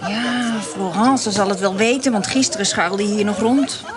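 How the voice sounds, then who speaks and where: A woman's voice: mid-range voice, normal speed, lightly acted. A woman playing a teasing, coaxing, flirtatious brothel hostess in a Dutch radio play. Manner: teasing, coaxing, chuckling often. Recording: loud tavern crowd behind dialogue.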